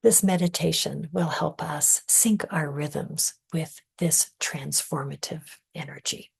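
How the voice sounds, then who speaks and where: A woman leading a guided meditation in a soft, breathy, often near-whispered voice, calm, measured and soothing. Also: deep voice. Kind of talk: guided meditation, scripted narration.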